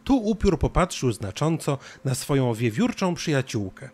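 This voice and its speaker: Male narrator for a children's tale, mid-pitched with animated intonation. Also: moderate pace. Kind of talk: scripted children's story narration.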